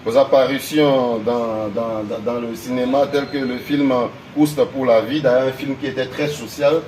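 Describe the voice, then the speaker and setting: A man hosting a televised interview, effusive and quick to repeat words of praise. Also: mid-range voice, fairly even intonation, a little mellow. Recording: echoey room from a distance with hiss.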